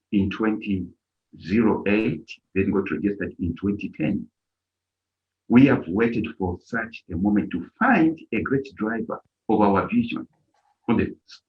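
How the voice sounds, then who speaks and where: A middle-aged man from Zambia addresses an audience as the leader of his organization. His pitch swings widely as he drives his points home, and the stream audio sounds dull and lo-fi. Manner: emphatic, rising to near-shouts on key points. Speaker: organization leader giving a speech. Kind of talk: impassioned speech at a live-streamed event.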